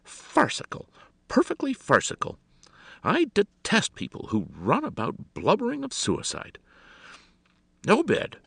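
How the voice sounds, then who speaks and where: A man's voice: high voice, wildly swooping pitch, a little slow, creaky, sharp tone, husky, dry recording, voice pushed up, heavily acted. A man voicing an imperious, exasperated society hostess in a storybook, theatrical, impatient and gushing. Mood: gushing, scornful.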